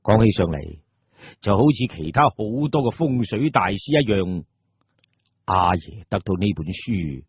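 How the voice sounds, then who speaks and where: A man narrating an audio drama in Cantonese-accented speech, theatrical and suspenseful, with deliberate pauses. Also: fairly low pitch, very animated, breathy edge, no room sound. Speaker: storyteller, narrator. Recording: muffled, dull audio.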